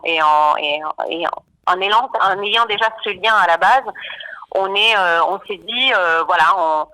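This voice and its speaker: A woman's voice: medium pitch, sharp tone, low-quality recording. A woman from Argentina, singer and songwriter of a band, talking as a radio interview guest, hesitant with many fillers and repeated words. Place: radio studio.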